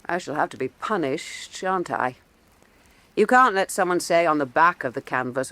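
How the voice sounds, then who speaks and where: Sardonic, defiant female artist, period radio drama; blunt and mocking, animated pitch, dry close sound. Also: no room sound. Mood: grim, provocative. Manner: blunt, mocking, theatrical delivery. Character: defiant, sardonic woman painter in historical Venice.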